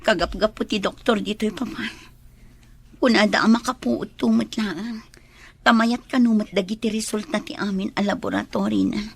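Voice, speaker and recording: A woman's voice: mid-range voice, animated, moderate pace, husky. A woman with an Ilocano accent playing a distraught young daughter pleading with her father in a radio drama, tearful and urgent. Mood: subdued, anxious.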